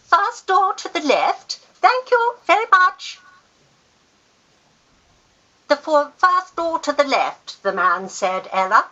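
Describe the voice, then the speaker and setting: Woman playing a bright, animated older Victorian lady. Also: high voice, slow pace, long pauses, highs cut off, heavily acted. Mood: wry, fond. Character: worldly, affectionate older aunt and wealthy guardian, teasing, wry. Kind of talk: Victorian period radio acting.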